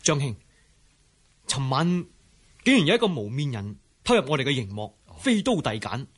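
An actor playing a friendly outlaw leader in a Cantonese radio drama, with a jovial storytelling manner. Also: male voice, medium pitch, sing-song, moderate pace, slightly rough. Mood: explanatory. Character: hearty, friendly male mountain-fort outlaw leader.

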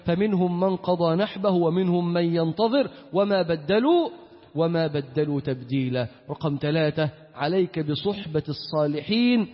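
A man with an Egyptian Arabic accent preaching an Islamic sermon in an emphatic manner, repeating key phrases. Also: high voice. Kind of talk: Friday sermon with recitation and supplication. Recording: echoey hall with long reverb, dull sound.

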